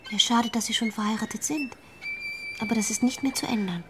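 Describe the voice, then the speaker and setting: A woman's voice: a little high, brisk, noisy background, natural voice. A woman acting a coy, tender young unmarried woman in a German radio play.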